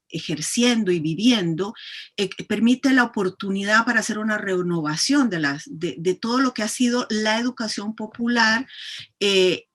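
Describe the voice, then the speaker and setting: A middle-aged woman from Chile speaks in a class held over a video call. She picks her words slowly and fills the gaps with hesitant pauses, and her voice sits at a mid pitch. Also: a bit bright. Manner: thoughtful, many hesitations. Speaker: course participant. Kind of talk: online class introduction.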